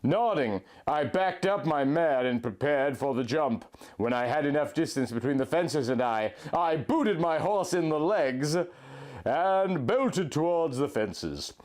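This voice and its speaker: A man reading fan fiction aloud in a deadpan, overly earnest storybook manner. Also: on the high side, unhurried. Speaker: comic reader of fan fiction. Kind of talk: mock-dramatic reading aloud.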